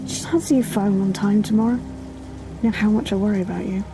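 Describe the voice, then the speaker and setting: An actress playing a cautious, caring young college student in an audio drama, sounding concerned. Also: female voice, mid-range voice, fairly even intonation, fast, background noise, lightly acted.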